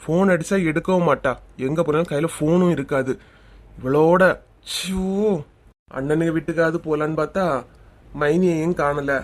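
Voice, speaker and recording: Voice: mid-range voice, steady tone, normal speed, long pauses, close to an everyday voice. An actor with a Kanyakumari Tamil accent playing an easygoing young village husband in a comic cartoon. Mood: exasperated.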